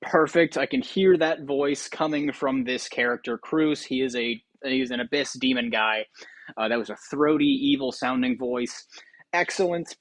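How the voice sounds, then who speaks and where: A man's voice: mid-range voice, moderate pace, no room sound. A man hosting a gaming video with casual commentary and tongue-in-cheek, mock-grandiose announcements.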